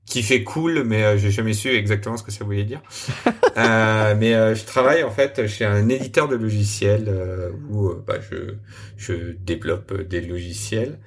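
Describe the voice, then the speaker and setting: Halting low-voiced French developer. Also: male voice. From France. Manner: relaxed, halting, many fillers. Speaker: guest software developer. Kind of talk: casual interview answers.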